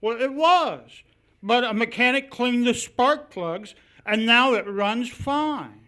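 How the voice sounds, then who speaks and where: A man plays a mild postman from an old radio comedy. He speaks slowly and innocently, with guileless simplicity. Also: very high voice, animated, bright, forceful tone, a little hiss or hum, heavily acted. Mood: innocent, bemused. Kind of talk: 1940s radio comedy acting.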